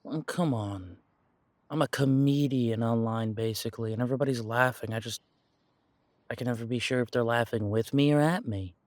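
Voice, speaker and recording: A man playing an insecure, defensive werewolf in an audio roleplay, speaking in a halting, stammering way as if confiding in someone close. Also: mid-range voice, a little mellow, no echo, close to an everyday voice. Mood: downcast, self-doubting. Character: insecure pure-blood male werewolf, self-doubting, defensive. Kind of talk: audio roleplay drama, one side of an intimate conversation.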